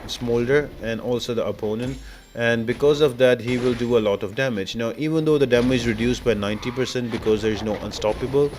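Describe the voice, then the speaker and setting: A man's voice: medium pitch, a little fast, darkish tone. Male game-guide narrator, mid-range voice, explanatory and methodical delivery, with some noise behind the voice. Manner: instructional, step-by-step. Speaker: gaming guide host. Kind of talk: game walkthrough commentary, explanatory.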